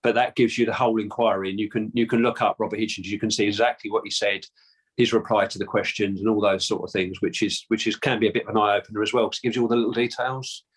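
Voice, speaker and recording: Man, London accent, lowish voice, hesitant, restarts a lot. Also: fairly even intonation, fast talker, husky, low-quality recording. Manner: hesitant, many restarts and fillers. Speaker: guest, descendant of a Titanic crewman. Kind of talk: casual interview answers.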